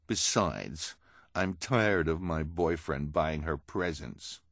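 A man's voice: rumbling low, expressive, slow, fairly bright tone, no echo, full character voice. A man voicing a haughty, scheming villainous woman who coaxes with emphatic, condescending persuasion in an audiobook. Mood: scornful.

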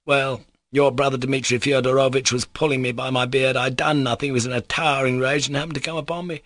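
A male narrator voices a ruined old-story army captain, a father who mocks himself. He talks in a raised, agitated pitch, fawning and theatrical, with a dry sound around him. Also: little pitch movement, fast talker, a bit bright, dry recording, close to an everyday voice. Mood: confiding, agitated.

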